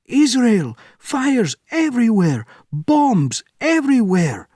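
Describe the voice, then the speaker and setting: A man's voice: very animated, a little slow, slightly rough, no echo, studio quality, exaggerated voice. Man playing a frantic Soviet officer. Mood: panicked. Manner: frantic, high-pitched shouting. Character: panicked shouting Soviet guard officer, man.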